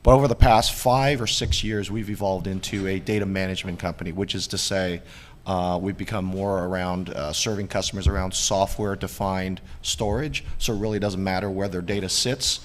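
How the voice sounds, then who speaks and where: A man on a tech panel who is losing his voice, measured and apologetic, with many fillers. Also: low voice, steady tone. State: partly lost voice. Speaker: panelist, technical account manager. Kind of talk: conference panel talk. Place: large conference hall. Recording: reverberant hall, faint noise.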